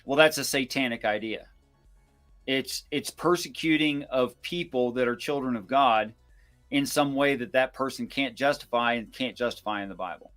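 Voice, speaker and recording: A man giving informal scripture commentary and reading verses aloud in a measured, explanatory way. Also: medium pitch, a bit bright, soft background music. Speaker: Bible discussion co-host.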